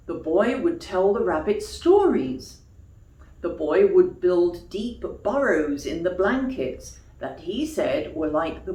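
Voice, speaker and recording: A woman storyteller reading expressively to young children like a teacher, pausing for questions and explanations. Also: deep voice, a little mellow. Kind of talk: children's storytime read-aloud with explanatory asides. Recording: echoey room.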